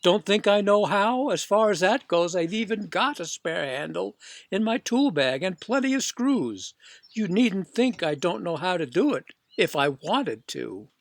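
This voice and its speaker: A man's voice: high voice, big pitch swings, measured pace, vocal fry, no room sound, heavily acted. A man voicing a blunt, suspicious working-class serviceman in a storybook, with a mocking, folksy way of talking. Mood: defensive, boastful.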